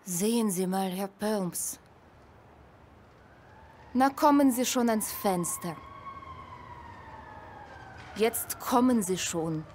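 An actress in a radio play playing a patient woman in charge who coaxes and lectures gently in a measured way. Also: mid-range voice, lively intonation, slight background noise. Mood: calm coaxing. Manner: measured, coaxing, deliberate.

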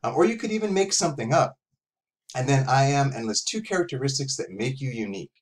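A man's voice: medium pitch, lively intonation, fast talker. Middle-aged man teaching casually. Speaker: author and professor teaching a writing exercise. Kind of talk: casual instructional talk to young writers. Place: small room at home, indoors.

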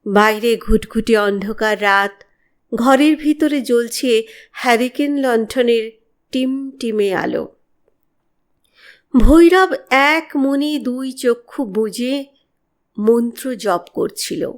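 A woman narrating a horror story in a measured, expressive, dramatic way. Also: fairly high pitch. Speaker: audiobook narrator of horror stories.

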